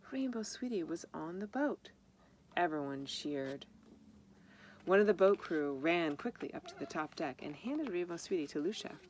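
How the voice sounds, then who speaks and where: A woman with a low voice and plenty of vocal fry reads a children's story aloud. She is expressive and speaks informally about the pictures. Some background noise sits behind her. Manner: expressive, acts out dialogue. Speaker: storybook reader.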